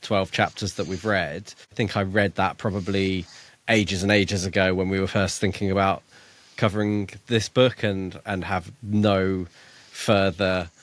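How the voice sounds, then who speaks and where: Male podcast co-host, lower register, dry close sound, casual book discussion. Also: steady tone, a little slow, no room sound.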